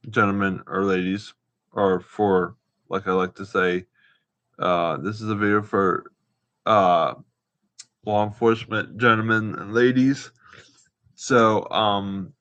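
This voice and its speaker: A man's voice: sits low, gravelly, fairly bright tone. A man hosting a casual, unscripted livestream, talking in a hesitant, rambling and repetitive way. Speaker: live stream host. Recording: dull, roomy livestream audio.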